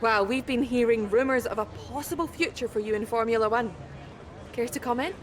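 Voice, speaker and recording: A woman's voice: on the high side, a little fast, a faint crowd, slight background noise, close to an everyday voice. A woman playing a polite, professional motorsport reporter doing probing interviews in a video game cutscene. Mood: upbeat, probing.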